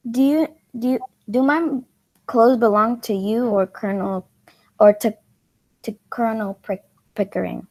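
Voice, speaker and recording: Woman reading a wounded, proud young woman, halting. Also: a little high, darkish tone, low-quality recording. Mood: hesitant, resentful. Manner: halting reading with stumbles and repeats. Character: Eliza, wounded, proud young former flower girl. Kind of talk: amateur readers' theatre play reading.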